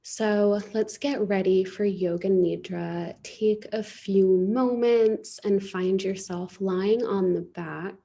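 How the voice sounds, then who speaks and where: A woman teaching yoga nidra, guiding a relaxation meditation in a soothing, unhurried way. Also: mid-range voice, fairly even intonation, vocal fry, warm tone.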